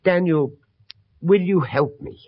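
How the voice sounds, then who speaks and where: An actor playing a haunted, grieving father in 1970s British radio horror, speaking with halting, theatrical intensity. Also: a little high. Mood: anguished, pleading. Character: grieving, haunted father searching for his lost son. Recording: dull, roomy old radio recording.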